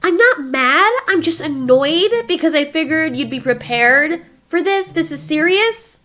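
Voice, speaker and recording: A woman's voice: high-pitched, animated, measured pace, pushed and bright, pitched above the performer's own voice, full character voice. A woman voicing an impatient female giant moth monster who speaks politely but curtly in an audio drama. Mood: annoyed. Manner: hesitant politeness turning curt.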